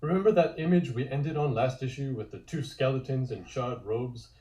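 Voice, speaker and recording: A man narrating a scripted comic table read in measured, descriptive storytelling, with echoey room sound. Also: mid-range voice, dark tone.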